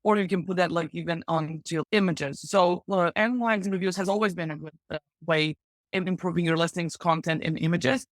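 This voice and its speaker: A woman's voice: lowish voice, fairly quick, no room sound. Chatty woman, dry close sound. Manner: chatty, enthusiastic, full of "like" fillers. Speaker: podcast guest, e-commerce translation expert. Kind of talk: casual advice-giving interview talk.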